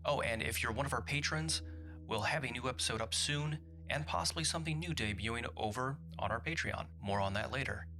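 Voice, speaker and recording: A man's voice: medium pitch, fairly quick. A man speaking as a podcast's producer, giving casual announcements and thanks to listeners.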